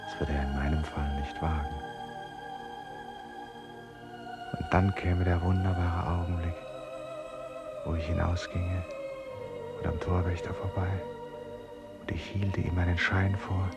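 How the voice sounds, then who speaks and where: An actor playing a brooding, resolute man confined in an asylum in a German radio play, speaking in a deliberate, introspective way. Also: deep voice, flat delivery, over loud music. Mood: wistful, dreaming. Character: brooding, resolute male traveller confined in an asylum, torn by guilt. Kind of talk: 1950s-70s German radio play, monologue and dialogue.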